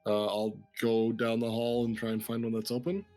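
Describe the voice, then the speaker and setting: A player speaks in character in a relaxed, chatty way during a tabletop game. The voice sits in the middle range. The delivery is easy and informal. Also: medium pitch, moderate pace, soft background music. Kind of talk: casual tabletop play.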